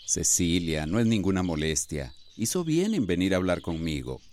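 A man's voice: low-pitched, very animated, moderate pace, darkish tone, slight background noise. An actor playing a kindly, reassuring older male landowner in a radio soap opera. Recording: radio drama with birdsong ambience behind.

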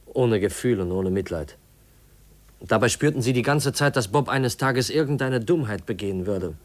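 An actor playing an earnest, troubled young nephew in a 1950s German radio play, speaking formally and haltingly. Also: male voice, mid-range voice, lively intonation, lightly acted. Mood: grave, accusing. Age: young adult. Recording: 1950s radio drama recording.

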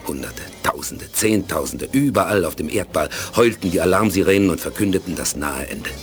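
Deep-voiced solemn male narrator. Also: animated, faint music behind. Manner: solemn, deliberate. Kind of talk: scripted dramatic narration for a 1980s radio play.

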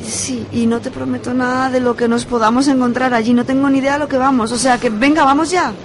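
A woman's voice: sits high, steady tone, quick pace, background noise, natural voice. An actress playing a pushy, urgent young woman in an amateur radio drama. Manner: urgent, bossy.

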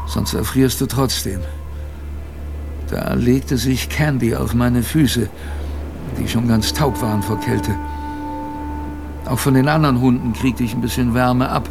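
A man recounting his youth on a polar expedition for a radio drama. His voice is medium in pitch and noticeably gravelly. He speaks plainly and earnestly, pausing and repeating himself as in spoken storytelling, with music underneath. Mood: moved, intense. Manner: conversational storytelling with pauses and repetitions. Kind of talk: first-person radio drama narration.

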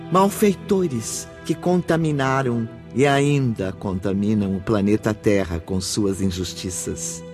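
A man narrating a scripted drama as storyteller, with emphatic, theatrical pauses. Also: mid-range voice, a little mellow.

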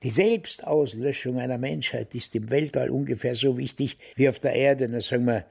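An actor playing a cynical, grumbling widower of about ninety in a radio play, with a husky, gravelly Austrian voice. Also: male voice, medium pitch, moderate pace, darkish tone. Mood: bleakly cynical. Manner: grumbling, blunt, dismissive.

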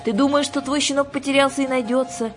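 Женщина играет властную и хитрую ведьму-гадалку из книги, говорит грубовато, театрально и таинственно. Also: female voice, sits high, steady tone, fast talker, soft background music, pitched above the performer's own voice, close to an everyday voice. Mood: ominous. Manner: brusque, mysterious, colloquial. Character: bossy, cunning witch fortune-teller woman, gruff and theatrical.

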